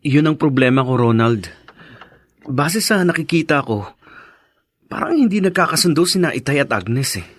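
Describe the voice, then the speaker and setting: A dutiful, married Filipino son in a radio drama. His voice sits a little high and is recorded dry and close. He sounds respectful, concerned and troubled. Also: animated, moderate pace, long pauses, no room sound. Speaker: drama actor.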